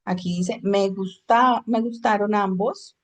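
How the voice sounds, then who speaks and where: A woman's voice: mid-range voice, fairly even intonation, slow. Woman teaching an English class. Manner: explains in Spanish, switches to English for examples. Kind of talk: online class lecture.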